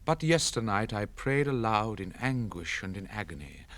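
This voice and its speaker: A man giving a solemn, intense dramatic recitation of classic poetry, with measured, theatrical stage diction. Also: on the low side, sing-song, fairly bright tone. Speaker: poetry reader. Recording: close, dry 1960s spoken-word record.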